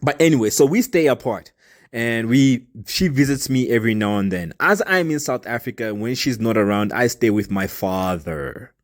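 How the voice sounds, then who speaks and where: A young man from Zambia telling his own life story in a casual, earnest and open way, with animated emphasis. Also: mid-range voice, moderate pace.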